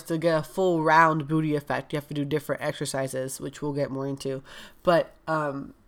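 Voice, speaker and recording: A woman's voice: low-pitched, a bit bright. A young woman wellness coach talking casually and frankly, with a stuffed-up nose, full of "like" and "um". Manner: chatty, frank, heavy use of "like" and "um". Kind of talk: casual podcast talk.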